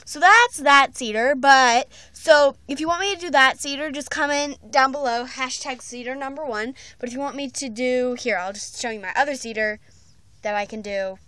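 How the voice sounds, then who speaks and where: A woman with a fairly high voice talks informally to her viewers. She has a goofy, self-mocking manner. The sound is close and dry, from a phone held at home. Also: normal speed, sharp tone, no echo. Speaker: vlogger auditioning for a voice part. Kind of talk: casual doll-video chat. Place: indoors at home. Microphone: handheld phone, close.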